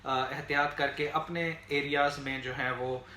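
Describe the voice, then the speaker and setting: Bright-voiced Pakistani man in an echoey room. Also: on the high side, little pitch movement, a little slow. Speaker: political commentator. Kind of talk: unscripted news commentary to viewers. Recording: echoey room, faint background noise.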